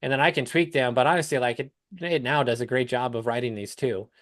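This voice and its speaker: A man's voice: mid-range voice, fairly even intonation, fast, fairly bright tone, no echo, clean studio sound. A man talking casually as a coach on a live call, explaining things step by step with examples. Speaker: business coach hosting a client call.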